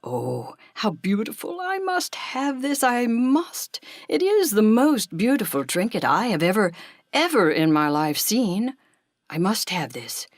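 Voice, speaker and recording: A woman's voice: mid-range voice, lively intonation, measured pace, dry recording, clean studio sound, pitched above the performer's own voice. A woman voicing an obsessive, impulsive little male animal who hoards trinkets in a storybook, insistent and repetitive. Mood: excited craving.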